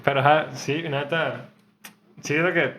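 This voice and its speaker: Bright-voiced young Mexican man. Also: fairly high pitch, fairly even intonation, a little hiss or hum. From Sinaloa, Mexico. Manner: quick, laughing, asks lots of questions. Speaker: podcast co-host. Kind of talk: casual chat.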